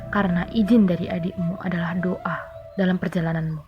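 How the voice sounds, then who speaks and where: A woman voicing a kind aunt in a folktale, giving gently firm advice in a hushed manner. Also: on the low side, fairly even intonation, a little fast, lo-fi sound, lightly acted. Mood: gentle advice. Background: music behind the voice.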